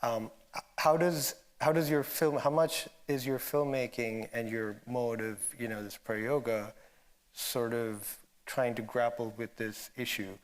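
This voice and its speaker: A male moderator speaks in an unscripted, academic register. He feels his way through a long question, pausing and rephrasing thoughtfully as he goes, at an average male pitch. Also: steady tone.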